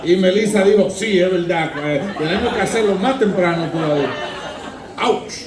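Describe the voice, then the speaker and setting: A man's voice: sits high, a little fast, noisy background. A man hosting an event, joking with the crowd and wrapping things up in Spanish. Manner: jokey, lively crowd banter. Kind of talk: emceeing in Spanish. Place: large echoey event hall. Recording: echoey hall, distant mic, crowd.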